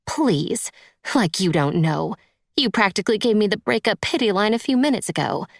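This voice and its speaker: A woman's voice: mid-range voice, lively intonation, moderate pace, gravelly, no room sound, studio quality, voice pushed up. A woman voicing a tearful, vain young trophy wife in an audiobook, with a whiny, sniffly delivery. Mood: sulky, defeated.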